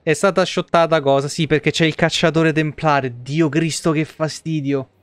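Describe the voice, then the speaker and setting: A man's voice: on the high side, steady tone, moderate pace, no echo. A young man giving casual live game commentary, muttering and swearing in exasperation to his viewers. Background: video game music.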